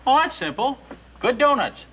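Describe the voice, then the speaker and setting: An actor playing a bumbling, jittery young naval ensign in a 1960s TV sitcom, talking in a flustered, excitable way. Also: male voice, very high voice, moderate pace, slightly rough, heavily acted. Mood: eager. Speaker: ensign, second in command.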